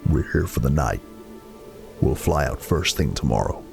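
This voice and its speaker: Man as a gravelly, very deep stoic soldier. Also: normal speed, soft background music, heavily acted. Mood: matter-of-fact. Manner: terse, deadpan, restrained. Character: stoic, terse veteran super-soldier man. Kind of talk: science fiction audio drama acting.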